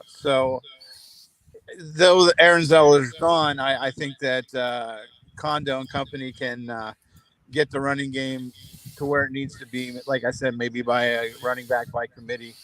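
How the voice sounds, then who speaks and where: A hoarse man co-hosting a local high school sports talk show, speaking in a halting way with many pauses and restarts. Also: a bit bright. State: hoarse, losing his voice.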